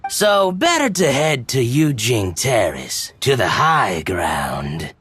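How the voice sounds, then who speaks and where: A man's voice: medium pitch, very animated, slow, fairly bright tone, slight background noise. An actor playing a genial, shrewd businessman in a video game, with a polished, expansive, slightly pompous manner. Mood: helpful, amused. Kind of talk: video game character acting. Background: game music.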